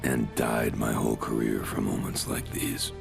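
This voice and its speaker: An extremely deep, heavily gravelled game warrior. He is solemn and spiritual, pronouncing words with ceremonious gravity over music. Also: little pitch movement, normal speed, full character voice. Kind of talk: video game character acting.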